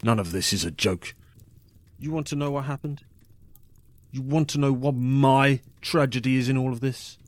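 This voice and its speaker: A man's voice: medium pitch, normal speed, long pauses, no echo, lightly acted. An actor playing a bitter, scornful drunken man telling his tragic story theatrically in a fantasy audio drama. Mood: angry, menacing. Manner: theatrical, scornful, swinging from mocking mimicry to hushed menace.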